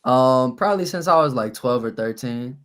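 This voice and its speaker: A young man from New Orleans with a raspy voice, a rapper telling stories as a guest on a podcast in an easy, relaxed way. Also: medium pitch, moderate pace. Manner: relaxed storytelling with fillers. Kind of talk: casual podcast interview.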